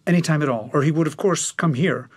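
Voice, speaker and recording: A tentative, slightly husky middle-aged husband. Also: male voice, a little high. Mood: placating, tentative. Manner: tentative, halting, conciliatory. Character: careful, placating, well-to-do middle-aged husband. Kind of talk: naturalistic radio drama acting.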